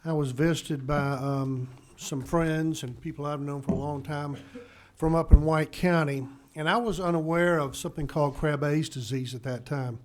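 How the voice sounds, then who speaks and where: A man, a state representative, hoarse from a bad cold, presenting a bill to committee and stumbling over medical terms. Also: on the high side, fairly even intonation, normal speed, hardly any pauses, darkish tone, a little hiss or hum. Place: legislative committee room.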